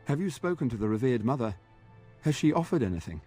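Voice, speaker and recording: An actor playing an earnest, devout knight in a fantasy video game, formal and solemn, resigned to a doomed battle. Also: male voice, mid-range voice, sing-song, quick pace, mellow, breathy edge, soft background music. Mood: somber, dutiful.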